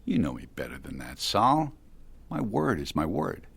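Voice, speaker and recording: An actor playing a cool, hard-nosed younger tech dealmaker in an audio drama, blunt, controlled and condescending. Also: male voice, low-pitched, huge pitch swings, moderate pace, creaky, fairly bright tone, breathy edge, heavily acted. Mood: guarded, businesslike.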